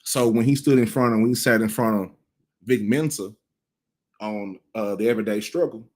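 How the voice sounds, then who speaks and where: A man's voice: lowish voice, normal speed, darkish tone. A man in his thirties giving casual cultural commentary, blunt and profane, rambling with frequent restarts. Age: 30s.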